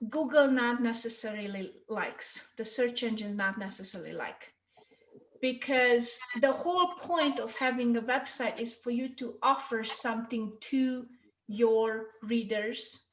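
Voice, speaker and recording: A woman's voice: fairly high pitch, reverberant, rough recording. A woman from Hungary with a Hungarian accent, presenting a marketing workshop in an informal, chatty way and often checking in with her listeners. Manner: chatty, checks in with "okay?" and "right?". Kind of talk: informal online teaching talk.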